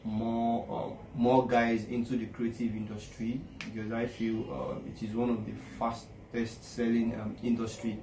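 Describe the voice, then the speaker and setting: Halting man, flat dark voice, far off. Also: mid-range voice, vocal fry, a little hiss or hum. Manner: halting, repeats words. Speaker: creative industry contributor. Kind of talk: interview answer. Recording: echoey room, distant mic.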